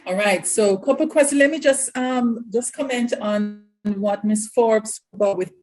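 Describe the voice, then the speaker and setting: A woman's voice: medium pitch, a little slow, darkish tone, rough recording. Woman moderating a panel. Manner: clear, explanatory, emphatic.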